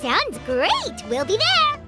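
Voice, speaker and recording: An actress voicing a tiny, chirpy, childlike fairy companion in a video game, perky and squeaky. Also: female voice, huge pitch swings, slow pace, full character voice. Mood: excited. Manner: perky, squeaky, refers to self by name. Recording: game music behind the voice.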